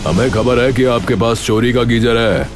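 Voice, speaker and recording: A man's voice: medium pitch, moderate pace, close to an everyday voice. A man voicing a scheming, easily irritated young village man in a cartoon, with exclamatory colloquial speech. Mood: irritated, demanding. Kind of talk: cartoon voice acting. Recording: music behind the voice. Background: music.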